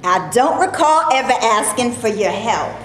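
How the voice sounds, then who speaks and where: In a very echoey room with faint noise, a woman plays a sassy, fed-up film actress on stage. Her high voice is indignant and colloquial, the feisty talk of someone tired of maid roles. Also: expressive, normal speed, close to an everyday voice. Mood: irritated. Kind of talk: staged play reading. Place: echoey room before a live audience. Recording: echoey room with noise, live audience recording.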